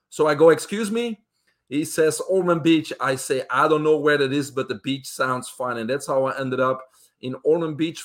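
A middle-aged man with a Belgian accent recounts his life as an interview guest. He talks in an easygoing way at a mid-range pitch and fills his pauses with uh and um. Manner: relaxed, many uh fillers. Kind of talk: interview storytelling.

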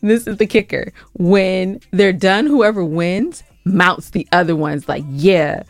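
A woman co-hosting a podcast in casual banter, telling stories in an animated, conversational way. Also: fairly low pitch, slow, gravelly, no room sound. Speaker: podcast co-host.

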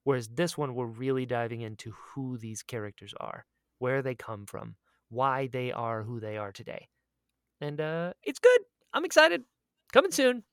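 Excitable man chatting, clean dry sound. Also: mid-range voice, moderate pace, clear, resonant tone, no room sound. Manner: excitable, emphatic and repetitive. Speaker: podcast co-host and audio drama creator. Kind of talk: casual chat.

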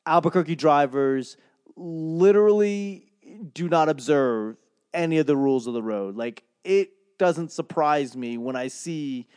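Casting: young guy, rambling profane comic rant, dry close sound, mid pitch. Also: a little mellow, clear, resonant tone, dry recording. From Boston. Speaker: comedian and podcaster. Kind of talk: casual monologue.

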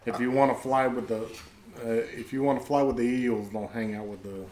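A man's voice: mid-range voice, fairly even intonation, normal speed, a little mellow, roomy sound, a little hiss or hum, low-quality recording. A man co-hosting a casual podcast who chimes in with teasing quips. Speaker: podcast co-host.

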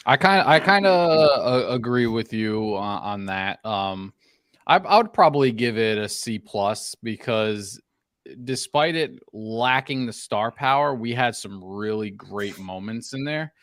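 A man in casual sports podcast chat, talking in a halting way and often repeating his words. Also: medium pitch, lively intonation, a bit bright, no room sound, clean studio sound. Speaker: wrestling podcast panelist.

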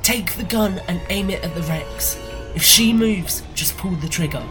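A woman's voice: fairly low pitch, moderate pace, natural voice. An actress playing a cool-headed, capable woman in an adventure audio drama, direct and wry. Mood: steady, commanding. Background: music.

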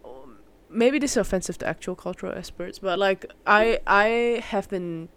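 Young podcaster with a smooth, clear voice. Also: mid-range voice, moderate pace, fairly bright tone. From Malaysia. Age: young adult. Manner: rambling, informal, self-interrupting, with mild slang. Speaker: podcast host. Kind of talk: casual solo chat.

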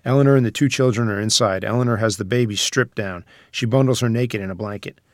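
A man's voice: fairly low pitch, fast talker, no room sound, studio quality. A man reading out screenplay scene headings and stage directions as a scripted narrator.

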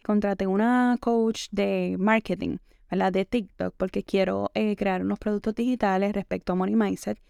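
Creaky, smooth-voiced woman podcast host. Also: medium pitch, fairly even intonation, normal speed, darkish tone, no echo, studio quality. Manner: conversational, leans on the filler "entonces" and slips in English words. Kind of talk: reflective self-help monologue.

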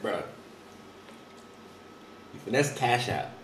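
A man's voice: on the low side, very animated, slow pace. A man of nineteen co-hosting a podcast, teasing his friend in laid-back slang. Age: young adult. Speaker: podcast co-host. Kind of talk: casual chat. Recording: distant echoey noisy room sound.

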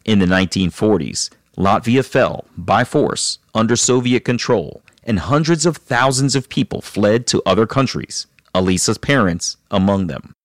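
A man hosting an interview show, narrating scripted introductions in a measured, calm way and interviewing his guest. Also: fairly low pitch, no room sound, studio quality. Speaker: podcast host.

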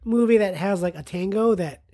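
A woman's voice: mid-range voice, normal speed, mellow. A woman co-hosting a casual film discussion, chatty and often searching for words.

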